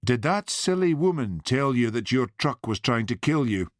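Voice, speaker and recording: A man's voice: mid-range voice, sing-song, normal speed, no echo, voice pushed up, close to an everyday voice. A man voicing a shrewd, skeptical policeman who asks dry, pointed questions. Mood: probing.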